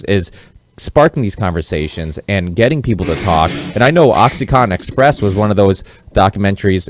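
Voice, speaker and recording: A man with a fairly deep voice hosts a conversational interview podcast. He talks earnestly and tends to ramble, and the sound is dry, free of room reflections. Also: no room sound. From Massachusetts, born in Portugal. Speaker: podcast host.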